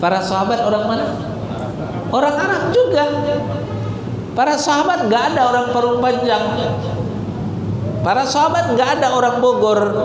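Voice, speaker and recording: A man from Indonesia preaching a Ramadan sermon, asking rhetorical questions and slowly reciting Arabic verses. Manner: rhetorical questions, wide swings in pitch and loudness. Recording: echoey hall with loud room noise.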